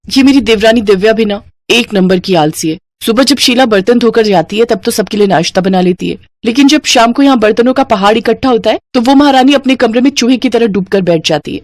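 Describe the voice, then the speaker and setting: A woman voicing a bossy, quarrelsome elder sister-in-law with a sharp-tongued, sarcastic way of scolding, in a family story. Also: fairly high pitch, fairly quick, no echo, lightly acted. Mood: exasperated scolding.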